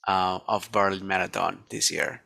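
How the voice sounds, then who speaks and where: A man's voice: deep voice, fairly even intonation, normal speed, gravelly, sharp tone. A man hosting a podcast interview, asking loose, rephrased questions full of fillers. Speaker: podcast host. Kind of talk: casual interview.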